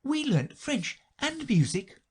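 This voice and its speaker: A man's voice: extremely high pitch, sing-song, slow pace, breathy edge, voice pushed up, full character voice. A man voicing a polite, curious young girl who asks earnest questions in a raised voice.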